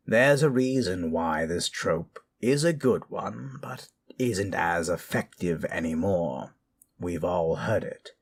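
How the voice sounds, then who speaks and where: A man hosting scary stories as an undead necromancer persona, speaking theatrically and deliberately with a husky, breathy voice. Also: low-pitched, a little hiss or hum. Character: undead necromancer storyteller persona, wryly amused. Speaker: host reading scary stories. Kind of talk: theatrical horror storytelling and commentary.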